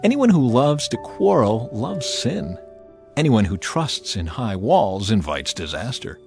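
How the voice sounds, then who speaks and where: A man narrating an audio Bible, reading short scripted verses in a measured, declarative and expressive way. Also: mid-range voice, creaky, soft background music.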